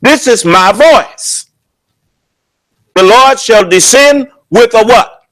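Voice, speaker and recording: A man with a raised, high-pitched voice preaches a sermon to his congregation. He speaks loud and emphatic and keeps firing rhetorical questions at his listeners. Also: animated, slow. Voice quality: loud, booming voice. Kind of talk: sermon at a prayer service.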